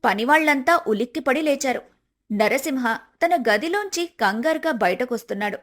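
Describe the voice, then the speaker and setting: High-pitched woman narrating a story. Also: expressive, normal speed, fairly bright tone.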